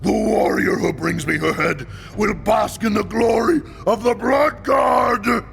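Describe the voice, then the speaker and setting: Brutal blood god champion for a game, super gravelly, slow and menacing, music behind. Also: male voice, high-pitched, a bit bright, full character voice. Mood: bellowing rage. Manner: menacing, contemptuous, theatrical. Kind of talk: video game villain acting.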